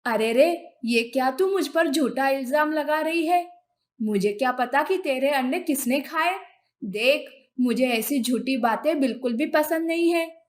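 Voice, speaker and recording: Woman as a proud, high-voiced mother cat. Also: a little slow. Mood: indignant. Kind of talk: animated children's moral story.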